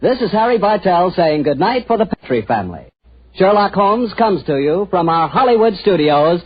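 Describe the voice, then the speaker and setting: An American man announcing and pitching for a sponsor in 1940s radio style, folksy and salesman-like. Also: sing-song, moderate pace, slight background noise. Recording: muffled 1940s radio with music behind.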